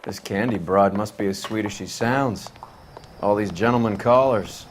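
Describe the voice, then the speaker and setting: An actor playing a tough, wisecracking 1940s American cop in a crime game, with a wry, slangy manner. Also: male voice, fairly low pitch, moderate pace, slight background noise, lightly acted. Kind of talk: video game acting, period crime drama. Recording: clear music behind the voice.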